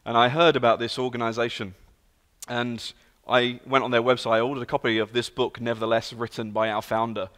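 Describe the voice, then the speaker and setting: British guest preacher, 30s, London accent, earnest storyteller, echoing church hall. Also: male voice, mid-range voice, fairly quick. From England. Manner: earnest, storytelling, lively emphasis. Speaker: guest speaker. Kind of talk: sermon. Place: large church sanctuary. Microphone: handheld and stage mic. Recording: long hall reverb.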